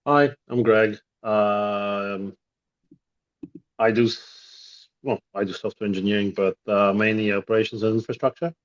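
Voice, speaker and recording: A Polish-born man, a native Polish speaker, talks haltingly and restarts his phrases as he explains. His voice is fairly low, and the call audio sounds dull and lo-fi. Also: steady tone. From Poland. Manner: halting, self-correcting. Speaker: software engineer. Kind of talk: casual chat on video call.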